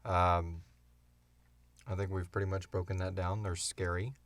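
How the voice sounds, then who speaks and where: A man co-hosting a podcast, answering questions in casual, informal, halting talk. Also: low-pitched, flat delivery. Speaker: podcast co-host and show creator. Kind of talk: casual Q&A chat.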